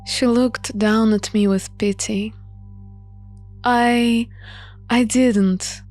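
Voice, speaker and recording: A woman's voice: fairly high pitch, fairly even intonation, very slow, darkish tone, faint music behind. A woman reading scripted first-person story narration for a visual novel playthrough.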